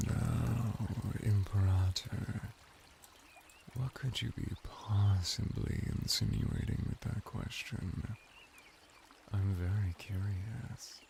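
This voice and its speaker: A seductive merman bath attendant, played by a man in an intimate ASMR roleplay, speaks in a low, heavily creaky voice. He is courteous and formal, taking his time with lingering pauses, over faint background noise. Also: warm tone, full character voice. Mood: flirty, teasing.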